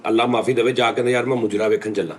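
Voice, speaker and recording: A man's voice: mid-range voice, fairly even intonation, racing. A man with a Pakistani Punjabi accent hosting casual comic banter, teasing and acting out mock dialogues. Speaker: comedy talk show host.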